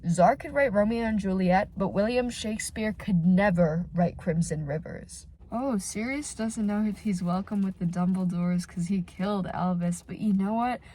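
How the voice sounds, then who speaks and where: Female vlogger, stuffy nasal cold voice, excitable fan chatter, mid pitch, recorded in a car. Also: normal speed, few pauses, rough recording. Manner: gushing and excitable, many filler "like"s. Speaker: channel host reacting to fan fiction. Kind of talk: casual reaction vlog. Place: inside a parked car.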